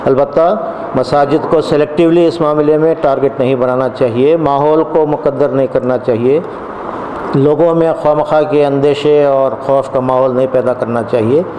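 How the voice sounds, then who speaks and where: A man giving a public statement in a measured way with formal wording. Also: mid-range voice, steady tone, warm tone. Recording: echoey, faint background noise.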